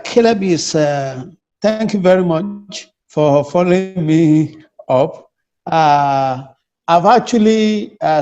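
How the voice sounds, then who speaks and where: A man taking part in an online workshop, answering the trainer hesitantly with many pauses and filler sounds. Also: a little high, darkish tone. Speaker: workshop participant. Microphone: computer mic on a video call.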